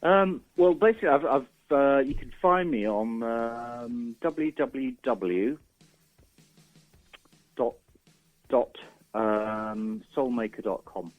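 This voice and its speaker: A man's voice: mid-range voice, lively intonation, slow pace, pauses often, soft background music. A man chatting casually as a radio interview guest, informal and matey, hesitant as he talks, over a lo-fi link.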